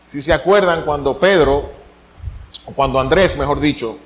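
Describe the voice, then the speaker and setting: A man's voice: on the high side, normal speed, low-quality recording. A middle-aged man from the Dominican Republic with a Dominican accent, preaching a sermon as pastor, his voice swinging upward to stress a point. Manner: animated preaching, voice swinging up for emphasis.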